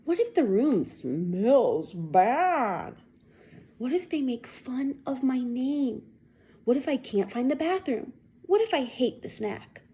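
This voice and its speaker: A woman voicing an anxious little girl mouse in a storybook, fretting in drawn-out questions. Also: sits high, sing-song, dark tone, husky, heavily acted. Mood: fretful, worried.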